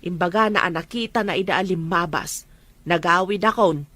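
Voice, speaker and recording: A woman's voice: fairly low pitch, moderate pace, a bit bright. A woman with an Ilocano accent playing a nagging, doubtful farm mother in a family drama. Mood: doubtful, complaining. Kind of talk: amateur Ilocano radio-style family drama.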